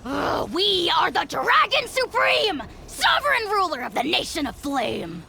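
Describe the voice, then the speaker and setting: A woman voicing a tiny, boastful dragon lord who shouts its own grand titles in a pompous manner. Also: very high-pitched, very animated, unhurried, vocal fry, exaggerated voice.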